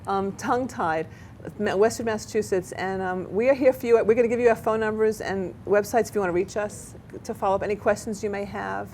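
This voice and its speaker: A woman hosting a local TV talk show, chatty and animated, often tripping over her words. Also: medium pitch, fairly quick, a little hiss or hum. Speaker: talk show host and therapist. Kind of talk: casual local TV interview chat. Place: local cable TV studio.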